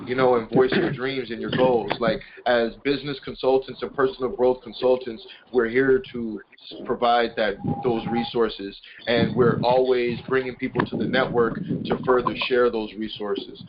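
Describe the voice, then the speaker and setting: A man's voice: mid-range voice, level tone, normal speed, few pauses, over a noisy crowd. A man hosting an informal group call, explaining things in a rambling way and often repeating his words. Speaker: conference call host, business and personal growth consultant. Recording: muffled conference call with loud noise, clicks.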